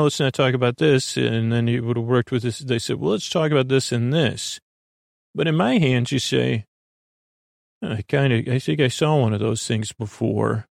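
A male podcast host with a mid-pitched voice full of gravelly fry, recorded very dry. He delivers a low-key bedtime monologue that wanders off on tangents, hesitating and restarting as he goes, with a self-deprecating streak. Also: no room sound. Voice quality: gravelly, creaky. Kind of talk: rambling, unscripted bedtime monologue.

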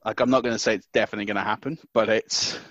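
A man co-hosting a podcast from home, talking loudly and excitedly, often restarting his sentences. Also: lowish voice, racing, no echo, dull audio. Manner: excitable, stumbling restarts, loud. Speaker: podcast co-host. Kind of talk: casual podcast chat. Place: small house.

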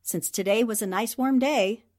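Woman acting a shrewd, higher-voiced 1940s newspaperwoman. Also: moderate pace, natural voice. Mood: proudly explaining. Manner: precise, composed, gently teasing explanations. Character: shrewd, self-assured 1940s newspaperwoman who solves crimes. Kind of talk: 1940s radio drama acting.

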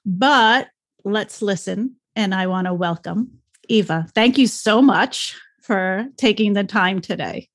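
A woman hosting an interview podcast, relaxed and welcoming as she introduces her guest. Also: medium pitch, takes it slowly, no echo, studio quality. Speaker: podcast host.

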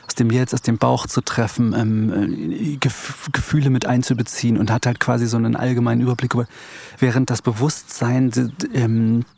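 Guy being interviewed, kinda raspy, mid pitch, thinks out loud and stumbles around for the right word. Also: fairly even intonation, no echo. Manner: halting, searching for words. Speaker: interview guest, composer and pianist. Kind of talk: reflective interview conversation.

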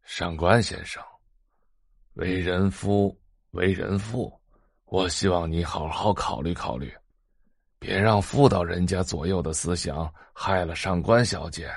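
A pompous old male physician in an audio drama. He is grave and condescending, with a slightly low voice and a very dry recording. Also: unhurried, husky, no echo, full character voice. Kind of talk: multi-voice audio drama acting.

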